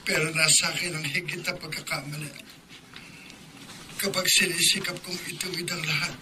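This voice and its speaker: A man's voice: a little high, level tone, moderate pace, noisy background, lightly acted. An actor in a radio drama playing a guilt-ridden young man who talks in a pained, remorseful way.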